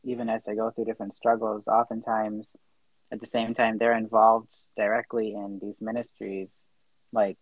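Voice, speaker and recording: Flat-voiced young man, dull lo-fi sound. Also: on the low side, normal speed, no echo. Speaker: podcast co-host. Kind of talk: casual podcast chat.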